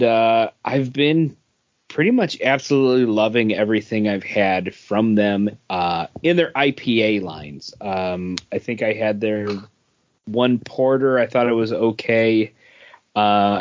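A man's voice: on the low side, unhurried, no room sound. Man chatting casually, dry dull recording. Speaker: podcast host. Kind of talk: casual chat. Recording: dull lo-fi, dry close sound.

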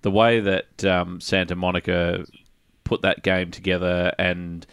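A man co-hosting a casual gaming discussion, talking in an articulate, measured way with some emphasis. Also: low voice, flat delivery, a bit bright, clear, resonant tone, no room sound. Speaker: podcast co-host.

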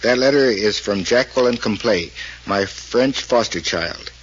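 A man's voice: on the low side, unhurried, dull audio, slight background noise. A man speaking formally in a scripted 1940s radio appeal, on an old broadcast recording.

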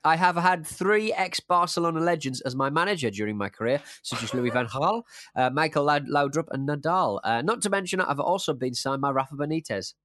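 A man's voice: on the high side, animated, fairly quick, hardly any pauses, a bit bright, no echo, clean studio sound. A man hosting a casual football podcast, playfully reading out quiz clues in a jokey manner. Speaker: podcast host and quizmaster.

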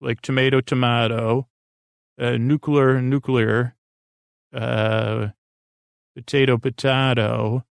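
Man, gravelly creak, soothing sleepy rambler, hesitant phrasing, bedtime podcast host, close dry sound. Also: mid-range voice, level tone, slow pace, long pauses, dry recording. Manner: meandering, hesitant, stop-start.

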